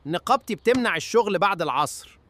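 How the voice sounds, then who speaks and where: Man doing a fast, swooping Egyptian cartoon handyman. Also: high-pitched, animated, fairly bright tone. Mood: grumbling. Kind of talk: comic cartoon dialogue. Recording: music behind the voice.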